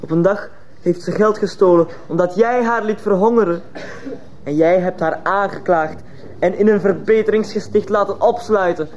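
A man's voice: sits high, moderate pace, a little mellow, lightly acted. A male actor playing a defiant, resentful teenage boy in a radio drama, speaking with reproach. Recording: muffled old radio play, faint noise.

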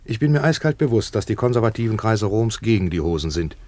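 An actor playing a brash, self-important merchant tycoon in a radio play, talking in a pompous, emphatic business manner. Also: male voice, on the low side, big pitch swings, quick pace, darkish tone. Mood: self-assured. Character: brash, self-important tycoon trouser manufacturer in ancient Rome. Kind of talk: German radio play acting.

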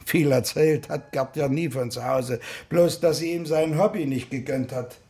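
An actor playing an older, opinionated ship-club member in a radio drama, talking colloquially in a gravelly voice. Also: male voice, on the high side, dark tone, lightly acted. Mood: confiding, bitter. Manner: colloquial, halting asides. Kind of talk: naturalistic radio drama acting.

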